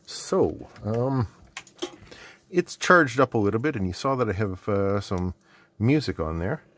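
A man's voice: lowish voice, lively intonation, unhurried, fairly bright tone, a little hiss or hum. A middle-aged man giving casual, unscripted commentary at a workbench, rambling and muttering asides to himself as he goes. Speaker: host of an electronics mailbag video. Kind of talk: casual unscripted bench commentary while unboxing and testing gadgets. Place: indoor electronics workbench.

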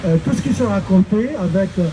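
An older man from Egypt giving an emphatic speech at an outdoor street rally into a handheld mic, often pausing on fillers. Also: high-pitched, animated, noisy background. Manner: emphatic, hesitant with many fillers. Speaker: rally speaker. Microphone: handheld microphone.

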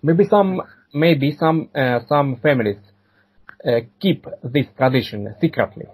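A man, answering interview questions haltingly and repeating words and phrases. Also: mid-range voice, expressive, a little mellow, husky, low-quality recording. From Ukraine. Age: 40s. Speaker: podcast guest.

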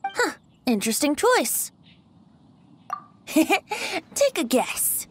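Boyish game bard, high lilting voice, very animated, playful, carefree. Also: female voice, extremely slow, a little hiss or hum, exaggerated voice. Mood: teasing. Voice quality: light, boyish. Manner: teasing, breezy, lilting. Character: playful, carefree youthful male bard, secretly wise. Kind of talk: video game character acting.

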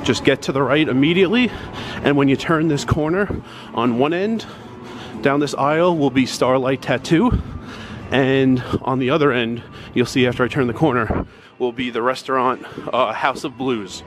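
A man's voice: medium pitch, moderate pace. Man walking and giving directions. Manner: plain, instructive, pointing the way while walking. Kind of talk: casual walking guide. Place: indoor casino halls and an outdoor sidewalk by a highway. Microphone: handheld camera at arm's length. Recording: traffic noise behind.

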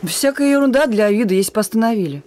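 A woman's voice: fairly high pitch, lively intonation, quick pace, darkish tone, natural voice. An actress playing a weary but defiant young woman messenger in a radio play, talking in a familiar, needling way. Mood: impatient, tired. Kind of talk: Russian radio play acting. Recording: radio drama with music.

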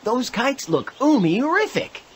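A performer voicing a friendly, excitable little robot helper in an upbeat, exclamatory preschool cartoon style. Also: medium pitch, unhurried, a little hiss or hum.

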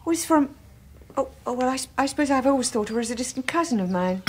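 An actress playing a timid, anxious wife in a radio drama, hesitant and meek, with a breathy voice. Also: female voice, high voice, expressive, fast talker, slight background noise. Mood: meek, pleading. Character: timid, anxious, gentle wife pleading with her husband. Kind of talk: 1980s British radio drama acting.

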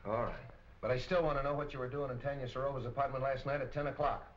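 Police captain, male actor, gruff and suspicious, rapid clipped questioning, muffled vintage film sound. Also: mid-range voice, steady tone, lightly acted. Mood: probing. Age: middle-aged. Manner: gruff, accusing, clipped interrogator. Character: tough, suspicious middle-aged police captain. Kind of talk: 1940s crime film acting.

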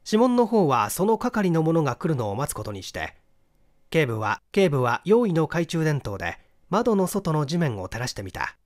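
A man's voice: fairly high pitch, very animated, normal speed. A man reading a mystery novel aloud as scripted literary narration. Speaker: audiobook reader.

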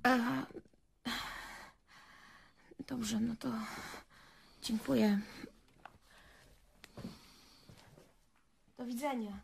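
Woman playing high-voiced, nervous young gossip. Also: steady tone, very slow, creaky, a little hiss or hum, heavily acted. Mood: anxious, pleading. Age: young adult. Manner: hesitant, rambling, anxiously self-correcting. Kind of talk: radio drama acting.

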